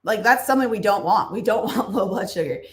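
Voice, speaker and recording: A woman's voice: mid-range voice, fast talker, reverberant. A woman hosting a livestream Q&A about nutrition, explaining casually with fillers and small laughs while her voice is a bit froggy. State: froggy voice, clearing throat and coughing. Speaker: nutrition channel host answering livestream questions.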